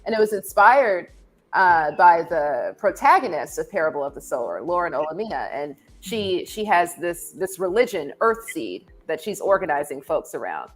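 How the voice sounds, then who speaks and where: A woman's voice: lowish voice, sing-song, normal speed, faint music behind, poor audio. A woman speaking as a podcast guest in a reflective discussion, thoughtful and often repeating words as she works out her point.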